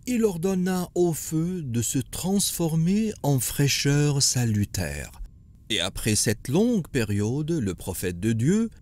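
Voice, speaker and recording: Man playing a slow-spoken storytelling grandfather. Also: mid-range voice, darkish tone. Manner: solemn, measured. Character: patient grandfather telling his grandson a sacred story. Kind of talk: scripted cartoon storytelling.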